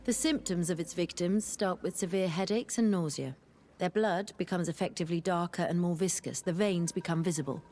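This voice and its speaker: A woman's voice: fairly low pitch, fairly quick, mellow, lightly acted. A woman playing a sober, learned physician in a video game, clinical and formal in delivery. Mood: grave. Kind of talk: video game cutscene acting.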